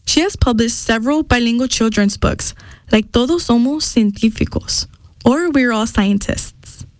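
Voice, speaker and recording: A woman narrating a scripted radio feature in a clear, measured voice. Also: on the high side, no echo, poor audio.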